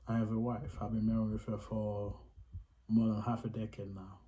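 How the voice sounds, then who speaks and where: Low, mellow Haitian-accented man. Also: level tone, normal speed, a little hiss or hum. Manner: earnest, emphatic, repeats points for stress. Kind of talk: casual explanatory talk to camera.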